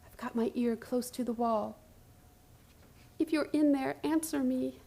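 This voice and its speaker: Actress as obsessed woman patient, high voice, haunted, urgent, theatrical radio drama delivery. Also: unhurried, gravelly, dark tone, full character voice. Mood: pleading, urgent. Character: obsessed, frantic woman hospital patient telling her strange story. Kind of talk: radio play acting, first-person dramatic narration.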